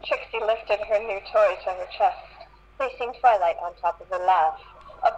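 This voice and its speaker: A woman's voice: medium pitch, normal speed, a little mellow. A woman reading fan fiction aloud in an amateur group, stumbling and restarting. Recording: muffled lo-fi voice-chat audio.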